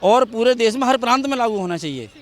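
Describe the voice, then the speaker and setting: Man, very high pitch, emphatic, repetitive, street vox pop, outdoors. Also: expressive, fast talker. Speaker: member of the public giving opinion. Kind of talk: street interview opinion.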